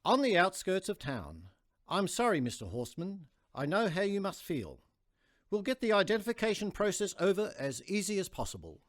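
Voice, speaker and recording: A sympathetic police officer in a radio drama, voiced by a man. His voice is notably high, and its pitch moves around a lot as he talks. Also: huge pitch swings, moderate pace. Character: sympathetic male police officer consoling a husband. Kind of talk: radio play acting.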